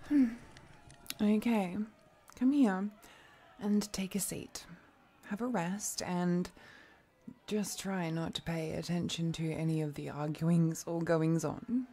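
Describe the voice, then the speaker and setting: A woman's voice: fairly low pitch, fairly even intonation, slow pace, pauses often, warm tone. A woman playing an affectionate, playful demon guide through hell in a fantasy audio roleplay, chatty, coaxing and protective toward the listener. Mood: relaxed, wry. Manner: coaxing, chatty, protective, with pet names and small hesitations.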